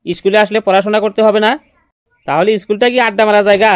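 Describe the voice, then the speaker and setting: A man's voice: sits high, steady tone, fast, smooth, dry recording, natural voice. A man voicing a stern, hot-tempered village schoolteacher in a comedy cartoon, scolding and exasperated.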